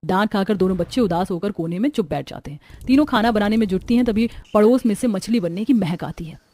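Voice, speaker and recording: A woman's voice: medium pitch, fast talker, warm tone. A woman narrator reading a scripted cartoon story. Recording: music behind the voice.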